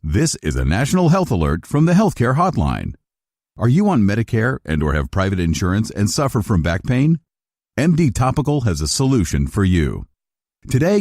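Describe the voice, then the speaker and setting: Deep-voiced, highly animated male ad announcer. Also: dry recording, studio quality. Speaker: advertisement announcer. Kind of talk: scripted sales read.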